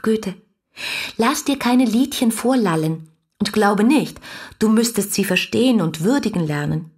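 A woman's voice: on the high side, slightly rough. An actress playing a fiery, headstrong young woman reading out her adoring letters with impassioned expression in a German radio play. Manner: impassioned, expressive literary delivery.

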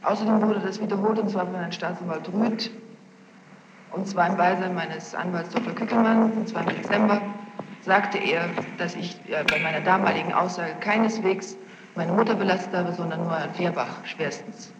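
A woman's voice: on the low side, normal speed. A young woman giving spontaneous testimony as a witness, explaining herself defensively and insistently. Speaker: witness under questioning. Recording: noisy, muffled archival tape, echoey hall.

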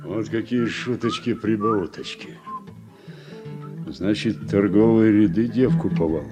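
A man acting in a Soviet radio drama as a steady, wry older farm worker, folksy and gently chiding. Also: fairly low pitch, a little slow, over music, close to an everyday voice. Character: wry, steady older farmhand, mentor to the young.

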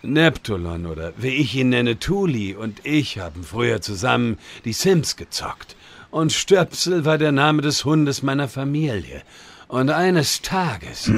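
Casting: male actor as old fantasy wizard, slow, husky and creaky, hesitant and embarrassed, comedy radio play. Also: medium pitch, a bit bright, full character voice. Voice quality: gravelly, husky. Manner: hesitant, sheepish. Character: bumbling, embarrassed old wizard reading a letter aloud. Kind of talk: German comedy audio drama parody.

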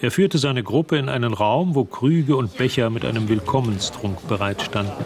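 An actor narrating a radio drama as a composed storyteller, in a measured, literary manner. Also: male voice, mid-range voice, a crowd in the background, natural voice.

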